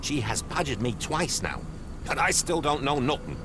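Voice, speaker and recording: An actor with a British accent playing an irritable working-class dock worker in a video game, brusque, colloquial and defensive. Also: male voice, medium pitch, lively intonation, moderate pace, noisy background, lightly acted. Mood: grudging. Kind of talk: video game cutscene acting.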